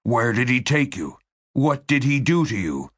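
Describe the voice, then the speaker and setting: A man playing a controlled, insistent interrogator in an audiobook, speaking in a measured, tight, carefully enunciated way. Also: medium pitch, big pitch swings, no echo, clean studio sound, voice pushed up. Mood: stern. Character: controlled, insistent male interrogator with strained patience.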